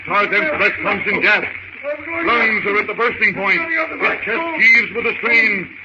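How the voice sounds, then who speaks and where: A man's voice: high-pitched, measured pace, highs cut off, heavy background noise. A man narrating a 1930s radio adventure serial in a theatrical, urgent manner with emphatic short phrases, on an old radio recording.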